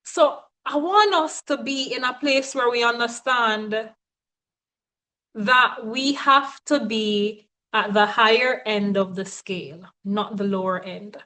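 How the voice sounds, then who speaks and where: A woman's voice: on the high side, slow pace, pushed and bright, low-quality recording. A woman coach giving a motivational teaching talk, speaking emphatically and often checking in with her listeners.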